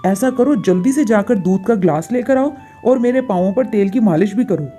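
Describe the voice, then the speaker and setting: A bossy older auntie in a family storybook tale, acted by a woman. She orders and finds fault in a soft-edged, mid-pitched voice, with music underneath. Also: moderate pace, close to an everyday voice. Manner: commanding, complaining. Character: bossy, demanding, fault-finding older visiting aunt.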